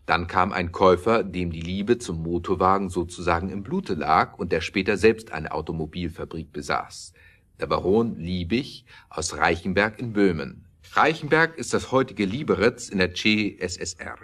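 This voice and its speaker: A man's voice: sits low, normal speed, a bit bright. A man reading scripted narration as the storyteller of a German audio drama.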